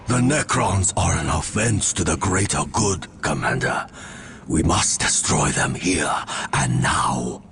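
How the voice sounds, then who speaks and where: An actor playing a grave, wise alien leader in a video game, speaking formally and deliberately with quiet authority. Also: male voice, extremely low pitch, vocal fry, noisy background, exaggerated voice. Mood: stern. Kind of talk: video game dialogue acting.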